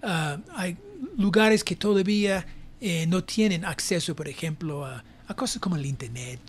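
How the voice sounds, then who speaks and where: Older male professor, American accent, native English speaker, thoughtful interview answers with frequent pauses. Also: sits high. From United States (New Jersey). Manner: hesitant, reflective, frequent pauses. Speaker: academic guest, university professor.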